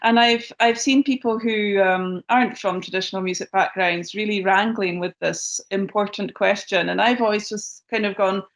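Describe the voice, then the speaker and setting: A Scottish woman with a gravelly, creaky edge to her voice talks through her ideas slowly and thoughtfully. She pauses with ums and restarts her sentences as she works out what she means. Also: medium pitch, steady tone. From Scotland. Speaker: guest musician. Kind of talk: interview conversation.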